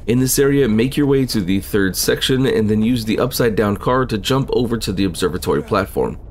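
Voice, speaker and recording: A man's voice: fairly low pitch, expressive, brisk, a little mellow, a little hiss or hum. A man narrating a scripted game walkthrough in a matter-of-fact, instructional way.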